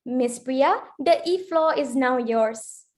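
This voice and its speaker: High-voiced woman emceeing an online event. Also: slow, a little mellow. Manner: formal, reading prepared remarks. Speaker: program emcee. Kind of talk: scripted event hosting. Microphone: video call.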